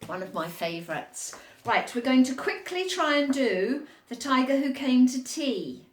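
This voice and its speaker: Female storyteller, higher-pitched, echoey room, animated picture-book reading with teacherly asides for little ones. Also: a little slow. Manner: encouraging, explains and asks questions to young listeners. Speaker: nursery storyteller reading picture books to children. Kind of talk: animated read-aloud storytime with asides to young children.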